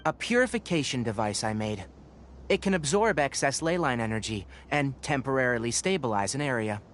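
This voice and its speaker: An actor playing a calm, clinical young scholar and inventor in a video game, explaining things precisely and matter-of-factly. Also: male voice, mid-range voice, brisk, background noise, lightly acted. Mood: focused.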